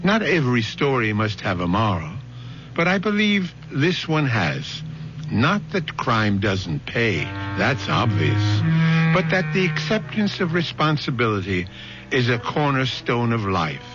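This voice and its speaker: Measured, slow older male radio host. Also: mid-range voice, big pitch swings, fairly bright tone. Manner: measured, moralizing. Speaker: producer-director and show host. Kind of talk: scripted closing narration. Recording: dull old radio broadcast with music.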